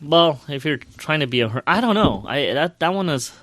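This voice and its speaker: A man's voice: on the high side, lively intonation, fairly quick, a bit bright, no room sound. A man co-hosting a podcast, telling stories casually with emphatic, repetitive phrasing. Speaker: podcast co-host. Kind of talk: casual storytelling.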